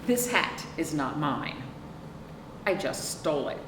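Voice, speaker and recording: Middle-aged woman, children's librarian read-aloud: expressive and swooping, chatty asides, picked up by a far mic in an echoey room. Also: deep voice. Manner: expressive, drawn-out emphasis and questions to young listeners. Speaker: children's librarian hosting storytime. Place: small indoor room, seated on a couch. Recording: roomy, distant mic with clear background noise.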